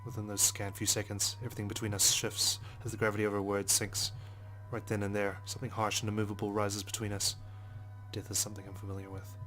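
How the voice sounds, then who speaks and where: A brooding male police detective, read by a man narrates his inner thoughts in a visual novel. The low voice is measured and solemn, with little melody, over quiet music. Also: completely flat delivery. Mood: somber. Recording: music behind the voice.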